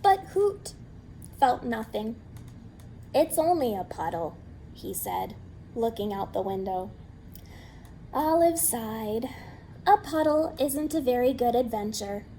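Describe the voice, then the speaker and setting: Slow, expressive woman reading a picture book. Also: fairly high pitch, slight background noise, poor audio. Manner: slow, expressive and hushed at times. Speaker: storytime reader. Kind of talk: children's picture-book read-aloud.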